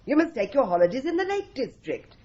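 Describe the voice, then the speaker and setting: An actress playing a sharp, sardonic young female secretary in a radio drama. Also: sits high, big pitch swings. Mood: explaining. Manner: sardonic, brisk. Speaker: office secretary. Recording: dull lo-fi radio play recording.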